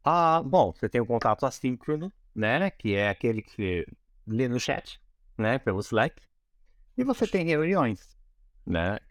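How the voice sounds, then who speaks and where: Brazilian male interview guest, mid-pitched, conversational explainer with frequent tag questions, close dry recording. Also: very animated, measured pace, no room sound. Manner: explanatory, often seeking agreement with tag questions. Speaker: interview guest, product design manager.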